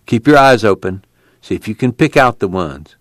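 Man doing a steady camp organizer. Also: lowish voice, moderate pace, no room sound. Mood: instructive. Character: calm male camp organizer giving orders.

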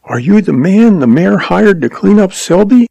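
A man's voice: high-pitched, expressive, moderate pace, creaky, warm tone, no room sound, highs cut off, pitched above the performer's own voice. A man voicing a slender young schoolteacher woman, earnest and pleading, in a Western story. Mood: hopeful.

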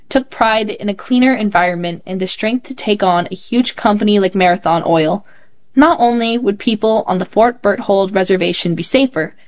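Woman reading a story, slightly dull recording. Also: mid-range voice. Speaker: host reading children's stories. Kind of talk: scripted narration.

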